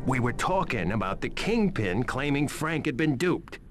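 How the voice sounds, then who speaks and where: A man's voice: medium pitch, sing-song, normal speed, soft background music, close to an everyday voice. A man playing a dry, skeptical investigator in a video game, with a pointed way of talking. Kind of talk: video game cutscene acting.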